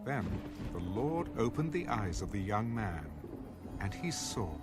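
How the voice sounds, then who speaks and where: A solemn scripture storyteller delivers dramatic scripted narration. His mid-pitched voice moves with animated intonation over a clear bed of music. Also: unhurried.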